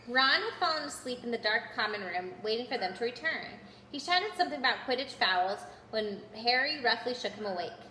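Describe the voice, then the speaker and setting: A woman storyteller reading a children's book aloud in an expressive narrating style. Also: on the high side, fairly quick, hardly any pauses. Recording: roomy echo with background noise.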